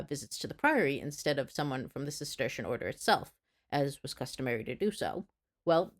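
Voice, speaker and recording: Woman, low register, earnest history narrator with scripted delivery and apologetic asides. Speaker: history video creator.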